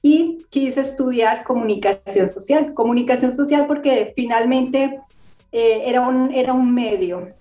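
A woman's voice: fairly high pitch, measured pace, dark tone. A woman writer from Colombia with a Bogotá accent, speaking earnestly and reflectively as an interview guest over a video call. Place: home room indoors. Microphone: laptop mic on video call. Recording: roomy lo-fi video call audio.

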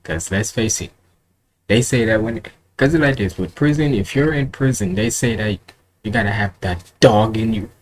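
A man's voice: fairly low pitch, moderate pace. A man in his twenties giving casual reaction commentary in a rambling, colloquial way, often repeating himself. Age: 20s. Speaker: reaction video host. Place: indoor room at home with curtains. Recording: noticeable background noise, home recording.

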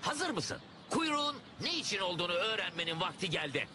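A man's voice: sits high, sing-song, brisk, slight background noise, heavily acted. A man voicing a short-tempered animal dad in a cartoon, with an exasperated, complaining manner. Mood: restrained. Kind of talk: animated cartoon comedy acting. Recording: loud music behind the voice.

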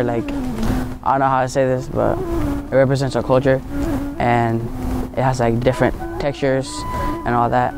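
A tenth-grade boy giving hesitant, casual interview answers. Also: mid-range voice. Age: teens. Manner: hesitant, fillers. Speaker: student interviewee.

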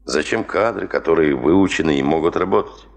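An actor playing a proud, driven, stubborn expedition chief in a 1970s Soviet radio play, emphatic and self-assured. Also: male voice, very deep voice, a little fast, creaky. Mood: passionate.

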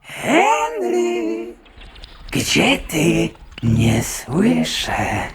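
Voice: sits high, wildly swooping pitch, drawn-out words, roomy sound, noisy background, exaggerated voice. A performer voicing a menacing, theatrical cartoon ink demon in a Polish fan dub. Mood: manic frustration. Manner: theatrical, exaggerated, swinging between hushed menace and shrieks. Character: manic, menacing cartoon ink demon, gleefully hunting his prey.

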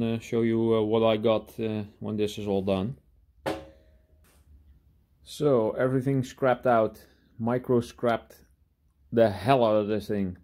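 A man talking casually through a hands-on demonstration in a workshop, halting and thinking aloud as he goes. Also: medium pitch, darkish tone. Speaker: hobby scrapper presenting his own video. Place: indoor cluttered workshop.

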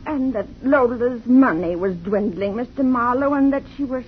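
A woman's voice: a little high, normal speed, lightly acted. An actress playing an anxious, grieving woman in a 1950s radio drama, with a dull vintage sound and faint hiss. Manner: fretful and tearful.

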